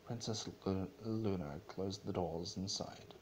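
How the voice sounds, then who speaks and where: A man narrating a story as a dramatic reading, in a solemn and somewhat halting way. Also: deep voice, a little mellow, slight background noise.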